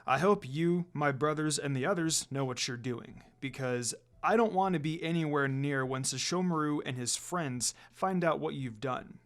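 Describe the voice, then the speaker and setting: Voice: mid-range voice, moderate pace, few pauses, pitched below the performer's own voice, lightly acted. A storyteller voicing a polite, mild-mannered male village doctor who is secretly shady, in a fan fiction. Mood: weary, reluctant.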